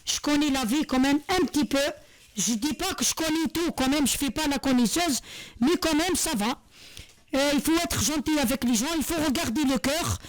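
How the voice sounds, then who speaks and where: Woman, high voice, sincere vlog monologue, halting and repetitive. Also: animated. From Algeria. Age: 40s. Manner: earnest, repetitive, many hesitations and restarts. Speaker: vlogger. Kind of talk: heartfelt monologue to camera.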